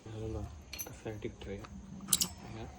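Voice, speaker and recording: A man's voice: fairly low pitch, level tone, slow pace. A man from the Konkan with a Malvani accent, talking casually as he explains a hands-on restoration step by step on a handheld phone. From Konkan, western India. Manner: informal, explaining step by step while working. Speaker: motorcycle vlogger. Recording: noisy outdoor handheld phone recording.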